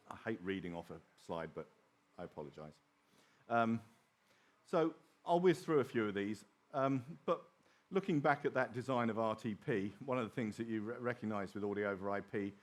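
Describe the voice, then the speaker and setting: A middle-aged man speaks as a presenter at a technical conference. His voice sits a little low. He explains things informally, slipping in wry asides. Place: small open presentation theatre at a trade show.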